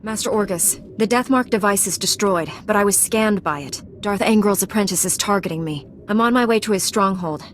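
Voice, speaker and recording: A woman's voice: mid-range voice, brisk, hardly any pauses, a little hiss or hum, natural voice. Woman as composed, resolute heroine knight. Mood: calm, determined. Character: earnest, calm, determined female Jedi knight heroine. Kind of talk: video game cutscene acting.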